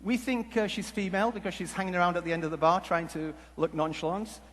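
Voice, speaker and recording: A man giving a humorous conference talk, telling stories in a jokey, halting, rambling way. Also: sits high, steady tone, fairly bright tone. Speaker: conference speaker. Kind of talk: humorous stage presentation with storytelling. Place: large conference hall before an audience. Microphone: headset mic. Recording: echoey hall PA with background noise.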